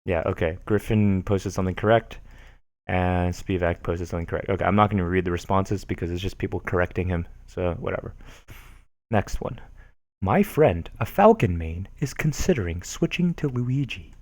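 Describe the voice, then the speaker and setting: A man's voice: low voice, normal speed, a little mellow, dry recording, studio quality. A young man reading online posts aloud to viewers, with amused, chuckling asides in between. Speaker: streamer reading forum posts.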